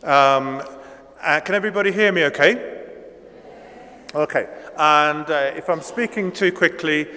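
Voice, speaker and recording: A man in his sixties whose first language is Italian delivers a keynote lecture at an unhurried pace, stopping often on ums and restarting his sentences. His voice sits a little high for a man. The sound rings with the long reverb of a lecture hall. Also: bright, forceful tone, slight background noise. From Italy. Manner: hesitant, many ums and restarts. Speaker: guest lecturer.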